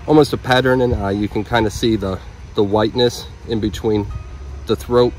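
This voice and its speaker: A man's voice: fairly low pitch, fairly even intonation, moderate pace, a little mellow, soft background music, low-quality recording. A man in his thirties from Illinois, explaining flower traits in careful detail outdoors. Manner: knowledgeable, detailed, matter-of-fact. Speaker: flower breeder. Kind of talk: casual interview about flowers. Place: outdoors in a garden.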